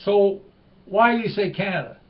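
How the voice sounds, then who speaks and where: A man's voice: sits high, unhurried, lo-fi sound, voice pushed up. A man voicing a puzzled Norwegian tourist who speaks broken English.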